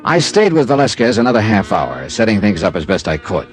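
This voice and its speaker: An actor playing a tough, wry insurance investigator in a classic radio drama, clipped and sardonic. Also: male voice, lowish voice, very animated, brisk. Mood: dry narrating. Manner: clipped, sardonic, hard-boiled. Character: tough, wry freelance insurance investigator, narrating his case. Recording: vintage radio drama with music.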